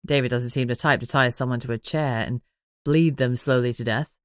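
A woman voicing a calm, teasing man in an audiobook, measured and matter-of-fact. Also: rumbling low, clear, resonant tone, no room sound, clean studio sound, voice pushed down. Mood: measured, thoughtful. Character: calm teasing man, werewolf packmate and investigator.

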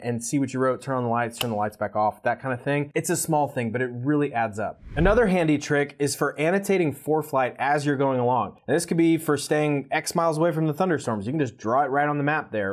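Fast-talking chatty man. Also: mid-range voice. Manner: quick, chatty, laughs at his own slips. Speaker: pilot and aviation channel host. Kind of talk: casual gear review to camera. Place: small home studio with shelves behind.

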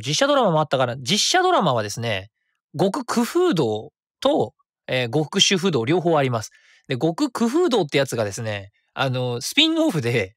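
A man's voice: fairly high pitch, fairly bright tone, no echo, studio quality. A man hosting his own web radio show, chatting and reading listener comments in a rambling way with many fillers.

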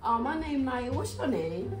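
Lively, sassy young American woman, acted by a woman. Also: sits high, unhurried. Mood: sassy, defiant. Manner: sassy, slangy back-talk. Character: sassy, skeptical young woman visiting her boyfriend. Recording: echoey room with loud music.

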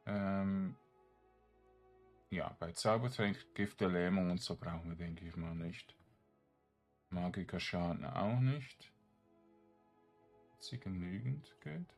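Low-voiced, slow man muttering through a let's play. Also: vocal fry, faint music behind. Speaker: gaming streamer. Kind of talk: casual let's play commentary, thinking aloud.

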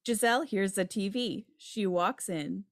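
A woman narrates stage directions from a script. Her voice is medium in pitch, with a dry sound free of echo. She reads for a table read held on a video call. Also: measured pace. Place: home room on a video call.

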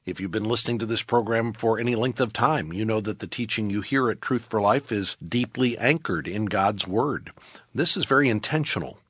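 A man announcing a radio ministry program, reading a scripted, measured script. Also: on the low side, fairly bright tone, breathy edge, no room sound, studio quality.

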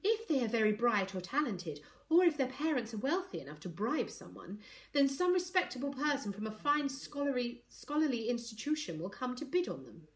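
A woman's voice: on the high side, big pitch swings, fairly quick, voice pushed up. Woman acting a snobbish, self-important society lady. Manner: pompous, condescending, exaggerated.

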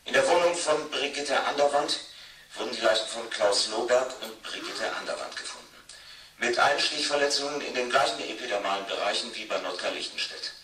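A distant, reverberant male voice, mid-pitched, as a controlled department superior. He speaks in deliberate official language, as if through an intercom in an eighties science fiction radio drama. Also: pushed and bright. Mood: grave. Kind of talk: 1980s German science fiction radio play acting.

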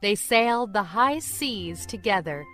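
A woman's voice: medium pitch, expressive, measured pace, fairly bright tone, faint music behind. A woman narrating a children's storybook as a scripted read-aloud.

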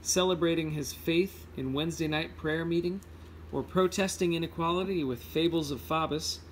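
A man with a slightly high voice reads a picture book aloud in an informal way. He sometimes trips on a word and fixes it as he goes. The amateur recording has faint background noise. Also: normal speed, poor audio. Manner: informal, stumbles over words and corrects himself. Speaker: read-aloud host. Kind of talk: reading a children's picture book aloud with casual asides.

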